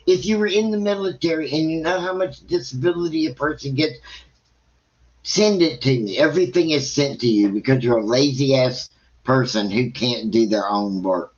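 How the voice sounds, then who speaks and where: A man's voice: mid-range voice, normal speed, a little mellow, highs cut off. A man giving blunt, scornful livestream commentary, swearing freely as he reacts. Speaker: live stream commentator.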